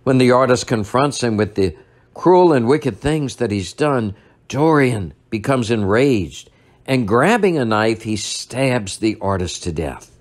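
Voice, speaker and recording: An older man, a priest, delivering a sermon at a church lectern, retelling a story in a measured way and slowing down for emphasis. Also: mid-range voice, expressive.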